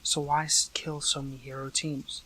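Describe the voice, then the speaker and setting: A man playing a weakened captive young superhero in an intimate audio roleplay, hurt but earnest, speaking haltingly with emotion. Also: fairly high pitch, little pitch movement, pushed and bright, a little hiss or hum, low-quality recording. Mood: hurt, pleading.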